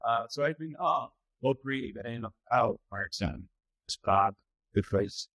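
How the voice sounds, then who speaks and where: A man's voice: mid-range voice, lively intonation, unhurried, a bit bright, no echo. A man in the audience asking a spontaneous, hesitant question at a conference.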